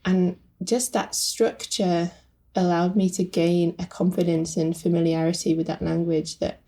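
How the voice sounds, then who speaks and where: A woman's voice: fairly low pitch, level tone, measured pace, mellow. A woman speaking reflectively with fillers as a guest translator in a podcast conversation.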